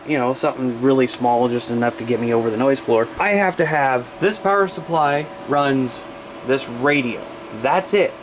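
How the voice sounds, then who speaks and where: A man's voice: a little high, slight background noise. A man from the US Midwest chatting casually to viewers as a hobbyist, rambling and plain-spoken while he demonstrates things. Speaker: hobbyist vlogger.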